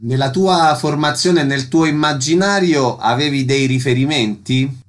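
A man's voice: medium pitch, a little slow. A man hosting a radio interview, speaking in prepared questions and short announcements.

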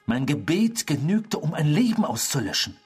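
A man's voice: fairly high pitch, big pitch swings, moderate pace, darkish tone, breathy edge, faint music behind, heavily acted. An actor in a German radio drama playing an intrusive, eerie man who philosophizes and confesses with pompous, formal politeness. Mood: grave, brooding.

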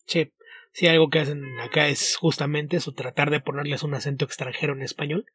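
Husky, higher man explaining things. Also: fairly quick, soft background music. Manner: explanatory, steady, with repeated restarts. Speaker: podcast co-host, comic translator. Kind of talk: casual podcast chat.

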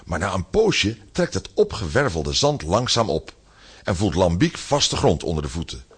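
A man with a lowish, husky voice tells a children's adventure story. His scripted narration swings dramatically up and down in pitch. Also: sing-song, moderate pace. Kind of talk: animated scripted narration of a children's radio play.

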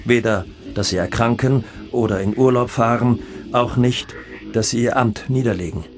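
An actor playing a defiant, self-assured drug cartel boss in a German radio docudrama, with a threatening, declamatory delivery. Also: male voice, medium pitch, moderate pace, close to an everyday voice. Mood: defiant, commanding. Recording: voice over prominent guitar music. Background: guitar music.

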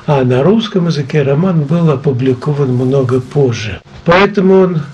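Audible noise and clicks sit behind an older man presenting on radio. His voice is a little high, and he introduces a drama reflectively. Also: animated, moderate pace, darkish tone. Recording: noisy recording with clicks.

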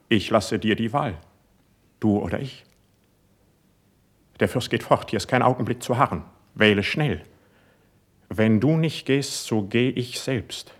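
An actor playing an impassioned, suspicious young court poet in a classical verse radio drama, with a husky, declamatory delivery. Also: male voice, medium pitch. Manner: declamatory, intense stage delivery.

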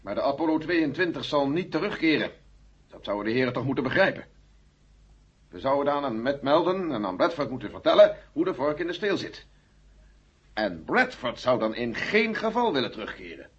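A man's voice: medium pitch, big pitch swings, a little fast, breathy edge. An actor playing a blunt, sceptical male general with a firm, matter-of-fact delivery in a radio drama. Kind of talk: 1970 Dutch radio drama acting. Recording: muffled old 1970 radio recording.

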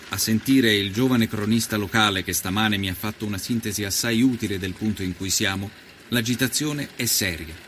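A man performing an earnest nineteenth-century Italian journalist who recounts his travels in a measured, solemn, literary radio monologue. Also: fairly low pitch, fairly bright tone, slight background noise, close to an everyday voice. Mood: grave, observant. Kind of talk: scripted dramatic narration, radio storytelling.

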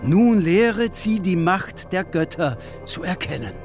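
A solemn high priest addressing his order in a classical radio play. He declaims slowly and with lofty authority, stern yet benevolent, over faint music. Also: big pitch swings, heavily acted.

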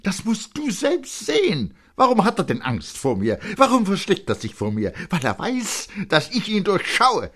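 A man's voice: high voice, wildly swooping pitch, moderate pace, few pauses, bright, forceful tone, pitched above the performer's own voice, full character voice. A man voicing an agitated, defiant young boy in a literary audiobook, speaking vehemently and insistently to his mother. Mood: heated, insistent. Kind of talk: dramatic literary audiobook acting.

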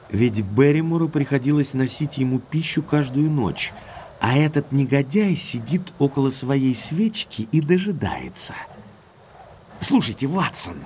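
An actor playing a forthright, impulsive gentleman baronet who talks commandingly in an audio drama. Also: medium pitch, slight background noise. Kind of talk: Russian audio drama acting.

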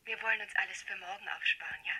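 An actress playing an insecure young shopgirl in love in a radio drama, speaking in a breathy, pleading, intimate half-whisper. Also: mid-range voice, steady tone, quick pace, pushed and bright, rough recording. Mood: hopeful, intimate. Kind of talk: 1970s German radio drama acting.

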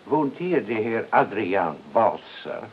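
An actor playing an eccentric, politely blunt freelance bodyguard in a radio play, with deadpan, matter-of-fact delivery. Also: male voice, fairly low pitch, slow, slightly rough, full character voice. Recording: tinny, noisy old radio recording.

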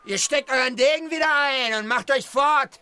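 A man's voice: very high-pitched, normal speed, slight background noise. A man playing a swaggering, taunting forest robber in an exaggerated radio play. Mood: taunting, gleeful. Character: swaggering forest robber stealing a nobleman's wife. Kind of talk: over-the-top radio play acting. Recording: loud music behind the voice.

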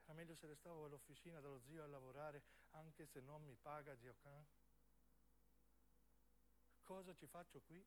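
A man's voice: fairly high pitch, normal speed, long pauses, slight background noise, voice pushed down, natural voice. A man playing a homesick young southern policeman, confiding wearily and plaintively as if to his mother in a radio monologue. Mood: weary, homesick.

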